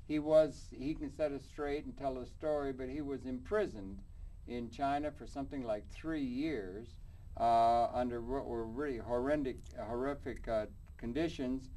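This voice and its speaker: A man's voice: mid-range voice, unhurried, warm tone, poor audio. A man hosting a studio interview show, rambling and searching for words as he introduces his guests. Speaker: talk show host. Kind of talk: public access interview.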